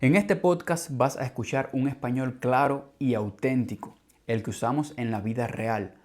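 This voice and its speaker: A man with a Cuban Spanish accent hosts a podcast for Spanish learners. He reads a script calmly and clearly at a measured pace, and his voice sits at an everyday middle pitch. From Cuba. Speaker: language teacher and podcast host.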